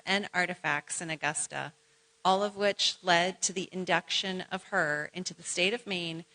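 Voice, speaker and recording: A woman presenting at an awards ceremony, formally reading out short biographies in a measured, ceremonial way. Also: lowish voice, sharp tone, low-quality recording. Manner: measured, ceremonial delivery with brief asides to honorees. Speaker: event presenter.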